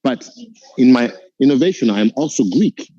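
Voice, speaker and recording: Earnest Ugandan-born man, dark voice. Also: medium pitch, animated, normal speed, no room sound, highs cut off. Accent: American-tinged. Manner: earnest, motivational. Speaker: guest entrepreneur. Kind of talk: reflective interview answer.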